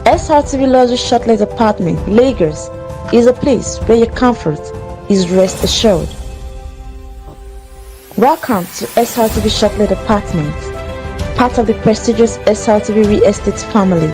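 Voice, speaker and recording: An announcer delivers a polished promotional read. The pitch sits mid-range. Clear background music plays under the voice. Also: moderate pace. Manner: smooth, promotional. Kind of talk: scripted radio ad read. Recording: voice-over with music bed.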